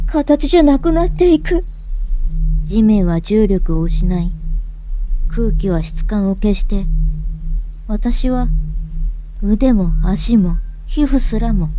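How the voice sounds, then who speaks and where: A woman playing a cornered, fearful young fugitive, narrating a fantasy audio drama in short phrases with dramatic pauses. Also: mid-range voice, close to an everyday voice. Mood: desperate, intense. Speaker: voice actor narrating as the main character.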